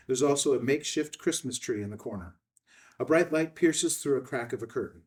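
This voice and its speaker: A man's voice: medium pitch, fairly quick, darkish tone, far from the mic. A man reading out stage directions as scripted narration at a film table read.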